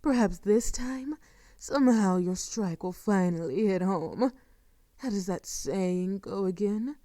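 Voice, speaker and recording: Slow, silky, sadistic vampire woman, played by an actress. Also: medium pitch, dark tone, dry recording, studio quality, close to an everyday voice. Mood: taunting. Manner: theatrical, silky, condescending, drawn-out phrasing. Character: sadistic seductive female vampire lord, possessive and taunting. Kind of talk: intimate scripted audio roleplay acting.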